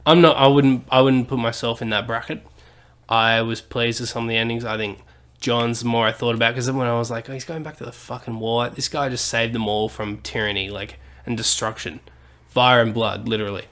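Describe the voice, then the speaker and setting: A man's voice: mid-range voice, flat delivery, brisk, a bit bright. Brief: man, podcast co-host, loose rambling delivery with casual swearing. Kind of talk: casual chat.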